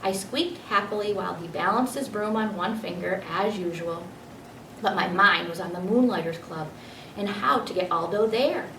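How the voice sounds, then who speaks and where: A woman narrates a children's storybook expressively at a middle pitch. She sounds a bit away from the microphone in an echoey room, with faint noise behind her. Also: moderate pace, fairly bright tone, far from the mic. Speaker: teacher reading a children's book aloud.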